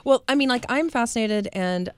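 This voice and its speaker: A woman's voice: fairly high pitch, normal speed, clean tone, dry recording. A woman in her late thirties hosting a casual, candid chat, rambling and breaking off mid-sentence. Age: late 30s. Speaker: show host.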